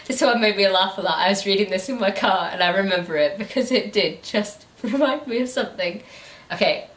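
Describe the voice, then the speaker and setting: A woman hosting a casual book review, chatting in an animated, incredulous and informal way. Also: medium pitch, fairly quick. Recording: roomy, echoey room sound.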